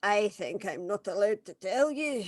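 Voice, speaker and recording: A woman's voice: medium pitch, lively intonation, a little slow, dry recording, clean studio sound. A woman playing a tipsy, cantankerous older woman in a drama table read, blunt and indignant with muddled words. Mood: mouthy, mischievous.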